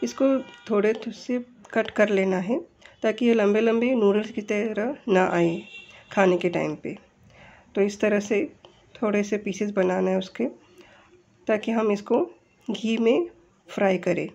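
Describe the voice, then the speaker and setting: A woman's voice: mid-range voice, steady tone, unhurried, creaky, a little mellow, dull audio. A woman with an Indian accent gives step-by-step instructions as a home cook in a recipe voice-over. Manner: explanatory, step-by-step.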